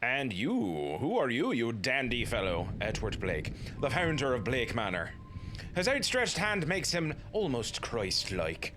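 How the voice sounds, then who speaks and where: A man's voice: mid-range voice, huge pitch swings, measured pace, background noise. A man in his thirties hosting a let's play, joking and commenting casually as he plays. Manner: playful, jokey, slips into silly voices.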